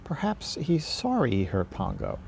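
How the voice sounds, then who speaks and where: Man voicing an anxious Dalmatian mother, creaky voice. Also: mid-range voice, wildly swooping pitch, normal speed, a little mellow, slight background noise, voice pushed up. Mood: hopeful. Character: anxious, loving female Dalmatian dog, worrying and hopeful. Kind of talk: storybook character reading.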